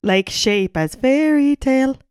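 A woman's voice: high-pitched, animated, a little slow, a little mellow, smooth, no room sound, studio quality. A woman co-hosting a podcast, chatting casually and poking fun at herself as she plays a guessing game, swearing freely. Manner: playful, self-mocking, sweary. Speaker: podcast co-host. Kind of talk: casual banter while playing a guessing game.

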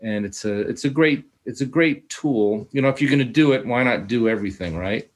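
A man's voice: mid-range voice, a little fast. A man, a musician guest on a casual talk show, talking about his radio show in a rambling, proud way over a video call. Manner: rambling, proud, self-deprecating.

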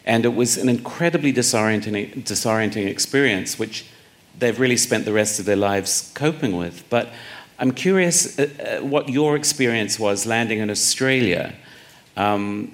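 A man with a fairly low voice, working as an interviewer. He speaks conversationally and haltingly, often doubling back on a word as he shapes what he wants to ask. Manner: halting, repeats words while forming questions.

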